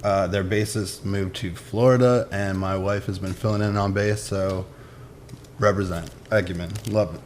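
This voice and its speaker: A man's voice: deep voice, steady tone, normal speed, slight background noise. A man presenting his picks in casual, unscripted talk, using short informal phrases. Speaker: musician presenting record picks. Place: indoors in a record shop.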